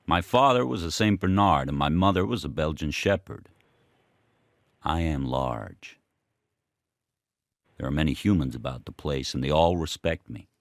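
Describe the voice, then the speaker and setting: A man voicing a huge, proud dog who narrates a radio drama in a solemn, deliberate, brooding way. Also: extremely low pitch, animated, gravelly, no echo, studio quality, heavily acted. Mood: proud, stately.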